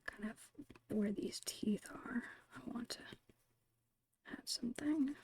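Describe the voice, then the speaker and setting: A woman whispering in a breathy, creaky voice, murmuring her way step by step through an ASMR art narration. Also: fairly high pitch, darkish tone. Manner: unhurried, murmured step-by-step musing. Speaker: host of an art ASMR channel.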